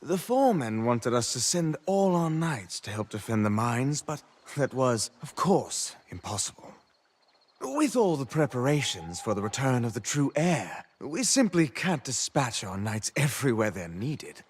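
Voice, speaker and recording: A man's voice: mid-range voice, big pitch swings, measured pace. A man playing a self-important, dismissive court minister in a fantasy video game, speaking in a pompous, theatrical way. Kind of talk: video game character dialogue.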